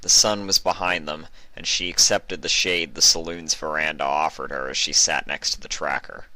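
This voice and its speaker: Deep, bright-voiced male story narrator. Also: fairly even intonation, normal speed, no room sound, poor audio. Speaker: narrator of fan fiction. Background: music.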